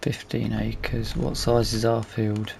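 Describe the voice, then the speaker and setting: Low-voiced man with a gravelly creak. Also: monotone, takes it slowly, a little mellow, slight background noise. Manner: thinking aloud. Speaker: gaming video host. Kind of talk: casual let's play commentary.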